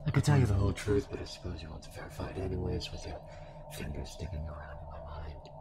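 An actor playing a sly, resigned captive villain in an audio drama, speaking in a sardonic, theatrical way. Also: male voice, deep voice, animated, fast, very noisy. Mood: taunting.

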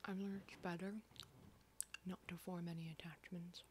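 A woman's voice: fairly low pitch, little pitch movement, moderate pace, background noise, close to an everyday voice. A woman playing a brash, wisecracking zombie-apocalypse survivor in a whispered ASMR horror roleplay, casual, rambling and sweary. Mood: wry, confiding.

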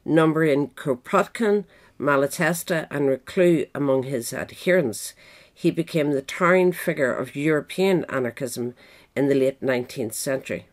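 Woman reading scripted nonfiction, measured and formal. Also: low voice. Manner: measured, formal read-aloud delivery. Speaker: audiobook reader. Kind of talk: scripted narration of a political history essay.

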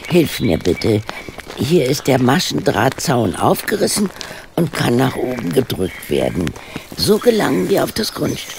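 Creaky-voiced, haughty amateur sleuth. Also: mid-range voice, wildly swooping pitch, measured pace, noisy background, full character voice. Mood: determined, curious. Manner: formal, haughty, precise. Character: dignified, stubborn amateur sleuth, tart and curious. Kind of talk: German radio play acting.

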